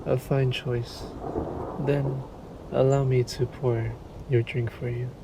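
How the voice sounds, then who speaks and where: A man playing a sadistic, courtly vampire lord in a roleplay audio drama, suave and menacing, with formal, drawn-out politeness. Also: mid-range voice, little pitch movement, background noise. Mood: silky menace. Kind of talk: scripted roleplay audio drama, addressed to listener.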